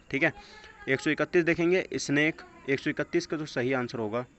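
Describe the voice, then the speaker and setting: A man teaching a test-prep lesson, reading out questions and answers in an instructional way and mixing in English phrases. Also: medium pitch. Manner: instructional, mixes English phrases into his speech. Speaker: exam coaching teacher. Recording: dull lo-fi audio with clear background noise.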